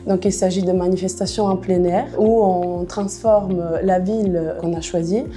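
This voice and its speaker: A woman's voice: fairly low pitch, fairly even intonation, normal speed, mellow, faint music behind. A woman from southern Italy with an Italian accent, explaining her events work in a promotional interview. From Naples, Italy. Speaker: trade fair and events manager.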